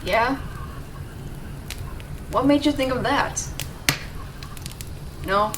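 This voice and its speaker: A young woman voice actor playing a gruff but devoted tsundere rescuer in an intimate, emotional audio roleplay. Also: sits high, very slow. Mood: worried, coaxing. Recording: distant mic, clear background noise ambience.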